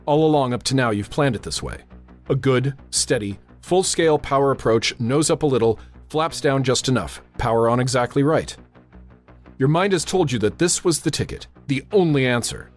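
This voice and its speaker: Over a music bed, a male actor plays a tense airfield tower controller in a radio drama. He is authoritative and urgent, with clipped delivery at a middle pitch. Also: lively intonation, moderate pace, close to an everyday voice. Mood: grave suspense. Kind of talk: dramatic second-person radio drama narration and dialogue.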